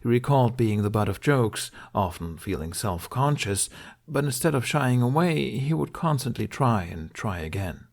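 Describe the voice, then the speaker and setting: Low-pitched male audiobook narrator. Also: gravelly. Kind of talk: scripted fiction narration.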